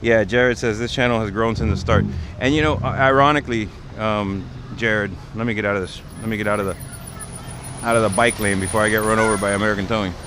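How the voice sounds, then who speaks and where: A man's voice: fairly low pitch, fairly even intonation, quick pace. A man hosting a casual livestream outdoors on a city street, explaining things in a conversational way. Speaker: livestream host. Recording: outdoor street noise, passing vehicles. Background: traffic and urban ambience.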